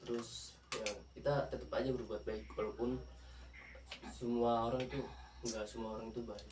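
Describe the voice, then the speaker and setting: A man speaking as a podcast guest in a casual chat, earnest and hesitant, pausing to search for his words. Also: medium pitch, flat delivery, darkish tone. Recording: echoey room, distant mic, faint noise.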